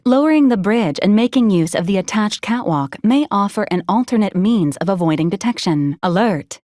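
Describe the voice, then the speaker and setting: A woman's voice: mid-range voice, darkish tone, no echo, studio quality, close to an everyday voice. A woman voicing a polite female robot companion in a video game, speaking in a formal, clipped, matter-of-fact and clinically detached way. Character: polite, deadpan female robot companion unit assisting a commander. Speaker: robotic assistant. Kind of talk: scripted video game character lines.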